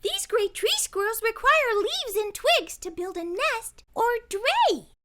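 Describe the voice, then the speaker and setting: A woman voicing a bright, excitable little girl who loves animals and explains things matter-of-factly in a storybook. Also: very high voice, slow, slight background noise, voice pushed up, full character voice. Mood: eager, informative. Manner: matter-of-fact, excited, instructive. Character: bright, eager, know-it-all little girl animal lover. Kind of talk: storybook character voice.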